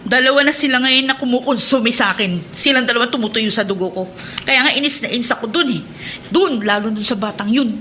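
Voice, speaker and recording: A woman's voice: fairly high pitch, fast, pushed and bright, a little hiss or hum. A woman playing a bitter, suspicious aunt in a Filipino radio drama, with a scolding, exasperated manner. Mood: resentful, irritated. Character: bitter, suspicious aunt and resentful wife, short-tempered.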